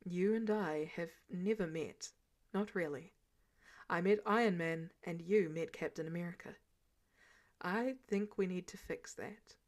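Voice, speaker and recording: Woman doing an earnest tired hero guy, low and quiet, measured team leader in an audio story. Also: lightly acted. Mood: earnest, wistful. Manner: measured, subdued, sincere. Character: earnest, weary male soldier-hero, calm team leader.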